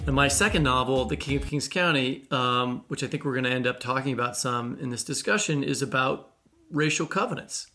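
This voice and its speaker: An American man co-hosting a podcast, explaining things conversationally with halting false starts. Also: mid-range voice, bright, forceful tone, faint music behind. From Kansas City, United States. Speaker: podcast co-host and novelist.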